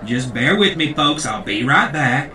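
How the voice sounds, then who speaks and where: An actor playing a pompous, indignant, crooked church leader with a preachy, folksy manner in a comedic audio drama. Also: male voice, mid-range voice, very animated, measured pace, sharp tone, full character voice. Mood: grudging. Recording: echoey room, distant, crowd behind.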